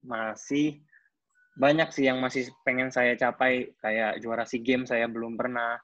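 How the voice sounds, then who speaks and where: A man's voice: medium pitch, level tone, measured pace, fairly bright tone, dry recording, muffled recording. A man in his twenties giving a casual personal account at a coaching clinic, hesitant, with frequent fillers and restarts. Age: 20s. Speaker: athlete speaking at a coaching clinic.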